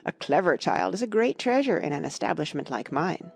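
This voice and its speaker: A woman performs a dignified, insincere headmistress in a storybook drama. The voice is husky and creaky, with formal, gushing phrases that rise and fall widely over soft music. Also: low voice, sing-song, brisk, pitched below the performer's own voice, exaggerated voice. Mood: gushing flattery. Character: cold, dignified, flattering headmistress.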